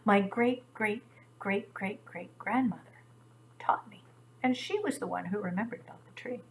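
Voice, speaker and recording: A small, slow old tortoise, modest and sure of herself. A woman telling the story acts her out in a soft, calm, unhurried voice. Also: medium pitch, creaky, slight background noise, lightly acted. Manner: quiet, calm and steady.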